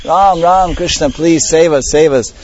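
A man's voice: a little high, a little slow, darkish tone, pitched above the performer's own voice, natural voice. A man voicing a group of frightened young cowherd boys crying out for help. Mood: desperate plea. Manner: pleading, chanting names in distress. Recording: dull lo-fi recording.

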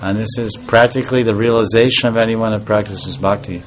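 A man giving an informal devotional talk as a spiritual teacher, soft-spoken and rambling, with pauses and restarts. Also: fairly low pitch, fairly even intonation, soft background music, poor audio.

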